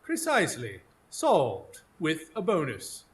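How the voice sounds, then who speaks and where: A man's voice: a little high, huge pitch swings, slow pace, exaggerated voice. A man playing a clever, self-assured detective in a radio drama, speaking in a precise, formal, haughty manner. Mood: wry. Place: small theatre stage, recorded live in front of an audience.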